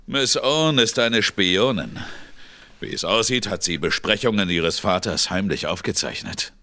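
An actor playing a menacing older ex-boxer thug with a gruff, sneering manner in an audio drama. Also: male voice, on the low side, unhurried, pushed and bright, no room sound. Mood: commanding. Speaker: villain. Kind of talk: studio audio drama acting.

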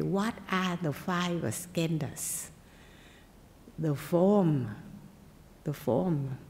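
A woman, a Buddhist monastic, giving a meditation talk in simple, halting English that is not her first language, often pausing and checking that listeners follow. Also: on the low side, mellow, in a large hall. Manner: simple, halting non-native English with pauses and check-ins.

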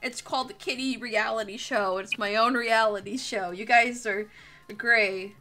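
A woman's voice: on the high side, steady tone, normal speed, sharp tone, soft background music. A young woman chatting casually on a livestream in a jokey, sweary way. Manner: crude, sweary, jokey banter. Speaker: live streamer. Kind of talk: casual livestream chat while drawing.

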